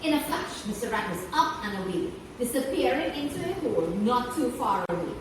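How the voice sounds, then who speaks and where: A woman reading a children's story aloud as narrator, expressive and deliberate, stressing key words. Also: on the high side. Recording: echoey room, distant mic, clear background noise.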